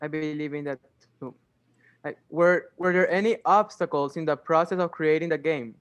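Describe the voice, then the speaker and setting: A teenage student interviews a guest for a school project, reading out prepared questions. He often stops and restarts as he goes. His voice is smooth, clean and somewhat high. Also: fairly even intonation, fairly bright tone. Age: teens. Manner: hesitant, halting.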